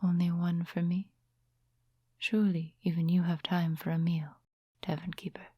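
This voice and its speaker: A woman acts out a composed, mysterious witch in an ASMR fantasy roleplay. Her voice is low and breathy, held very even in pitch. She speaks softly and without hurry, in courteous, old-fashioned storybook phrasing. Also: dry recording, clean studio sound. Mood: polite, expectant. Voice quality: breathy, whispery soft voice. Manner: unhurried, gentle, formal storybook phrasing. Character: soft-spoken female witch, composed, courteous, quietly mysterious night owl. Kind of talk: soft-spoken ASMR roleplay.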